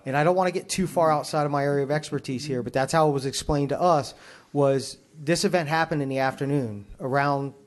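A man speaking as a fire chief at a public meeting, answering questions in a measured, formal, explanatory way. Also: fairly high pitch.

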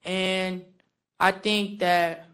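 A young woman from the Philippines and San Francisco giving informal personal testimony in a casual, halting way with lots of "like". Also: fairly low pitch, little pitch movement, fairly bright tone. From born in the Philippines, raised in San Francisco from age eight. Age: young adult.

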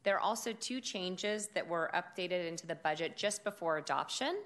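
A woman who directs a city department, giving a formal budget presentation at a public meeting in a loud, steady, measured voice. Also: on the low side. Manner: measured, informative delivery with occasional fillers.

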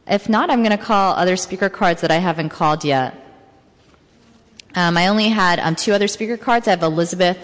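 A woman's voice: fairly low pitch, a little fast. A woman moderating public comment as chair of a hearing. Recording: echoey hall, long reverb.

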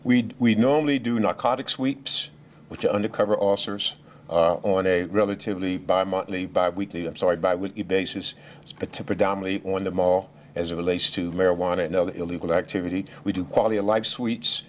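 A police official giving remarks at a press briefing, speaking loudly and haltingly, with many fillers and restarts. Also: male voice, low voice, fairly even intonation. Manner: speaks loudly without a mic.

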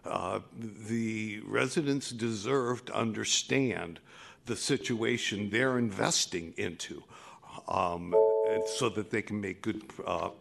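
Slow gravelly man at a public meeting. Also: fairly low pitch, fairly bright tone. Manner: pointed, questioning, halting with fillers. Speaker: public commenter.

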